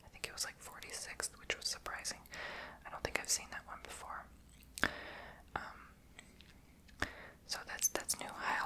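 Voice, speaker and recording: Chatty whispering woman doing ASMR. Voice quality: says she is tongue-tied and makes her r in the throat. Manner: soft unvoiced whisper, chatty and rambling. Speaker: ASMR creator.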